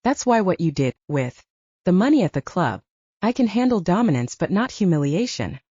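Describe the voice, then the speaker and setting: A candid, self-mocking young female werewolf soldier, acted out by a woman audiobook reader. Her chatty delivery swings widely in pitch, with a mellow tone on a slightly muffled, dry recording. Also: big pitch swings, normal speed, slightly rough, no room sound. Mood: embarrassed, reflective. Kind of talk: audiobook character dialogue. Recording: dull, lo-fi sound.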